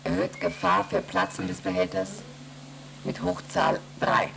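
A woman voicing a cold, rule-bound station computer in a radio play, reciting rules and figures in a flat, mechanical way. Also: very deep voice, a little slow, vocal fry, a little hiss or hum, full character voice.

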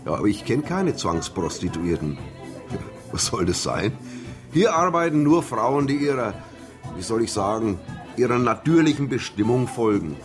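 An actor playing a sly, evasive nightclub manager in a radio drama. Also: male voice, mid-range voice, big pitch swings, moderate pace, music in the background, lightly acted. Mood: smug, mocking. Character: evasive, smirking male nightclub manager in Czech border region. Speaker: club manager. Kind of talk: naturalistic radio drama acting.

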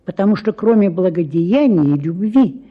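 A meek, fawning henpecked husband in a radio play, as a man puts him on. His voice is raised and sugary, slightly husky. The recording sounds dull and lo-fi. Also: high-pitched, expressive, normal speed, pitched above the performer's own voice. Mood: pious. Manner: sugary, submissive.

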